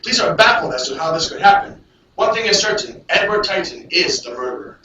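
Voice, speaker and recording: A man's voice: sits high, lively intonation, brisk, pushed and bright, lo-fi sound. A man voicing a father who reads grim news aloud in an amateur cartoon. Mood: alarmed, emphatic. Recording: very echoey, distant, faint noise.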